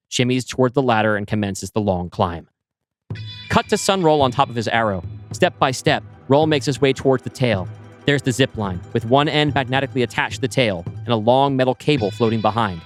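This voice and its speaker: A man reading a science fiction script aloud as narrator, in an even, descriptive manner. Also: mid-range voice, brisk, soft background music. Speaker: storyteller.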